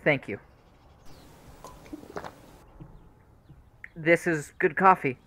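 A man's voice: fairly high pitch, moderate pace, pushed and bright. A man playing an apologetic, earnest adult son in a radio play, hesitant and repeating his words. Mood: guarded, polite.

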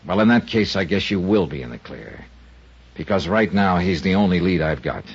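An actor playing a sardonic hard-boiled insurance investigator in an old radio drama. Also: male voice, low-pitched, lively intonation, normal speed, husky, full character voice. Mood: probing. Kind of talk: 1940s radio acting. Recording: dull lo-fi old radio recording.

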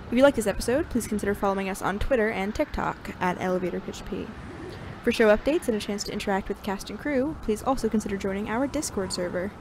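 A woman's voice: mid-range voice, quick pace, darkish tone, poor audio. A woman announcer giving a scripted read of podcast credits. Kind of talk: scripted narration. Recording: faint background noise.

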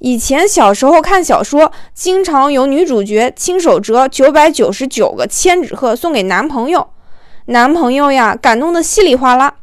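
A woman with a high voice reads a light romantic comedy novel as an audiobook. She tells it expressively and colloquially, drawing out little particles like a storyteller. The sound is dry and close, with no room to it. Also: expressive, moderate pace, dry recording. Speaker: audiobook narrator. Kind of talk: expressive scripted narration of a comic romance novel.

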